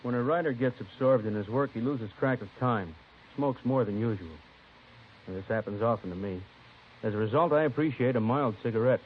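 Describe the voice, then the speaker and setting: A man's voice: medium pitch, a little fast. A man reading a scripted testimonial in a 1950s radio cigarette advertisement. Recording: muffled vintage radio, faint noise.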